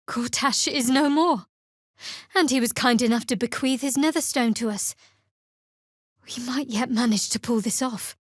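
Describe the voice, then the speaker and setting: Fantasy game companion: young female cleric of a dark goddess, higher-pitched, measured and sardonic, recorded close and dry. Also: no echo. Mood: wry. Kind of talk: video game voice acting. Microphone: close, dry studio mic.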